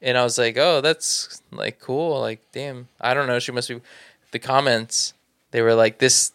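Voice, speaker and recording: A man's voice: medium pitch, steady tone, unhurried, a bit bright, clear, resonant tone, dry recording, studio quality. A young man co-hosting a casual podcast, telling stories with a joking tone and frequent chuckles. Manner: joking storyteller, chuckles often. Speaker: podcast co-host. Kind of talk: casual chat.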